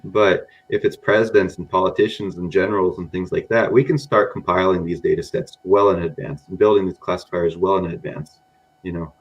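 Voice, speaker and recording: A man's voice: sits low, steady tone, fairly quick, lo-fi sound. A man speaking as a guest on a data podcast. He rambles casually, with a mixed American accent, and often says "you know" and "um". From grew up in Japan among Americans.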